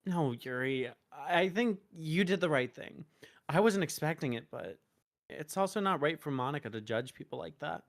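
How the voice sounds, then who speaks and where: A woman streaming a visual novel, reading narration and dialogue aloud expressively, halting in places. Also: sits low, expressive. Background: game music.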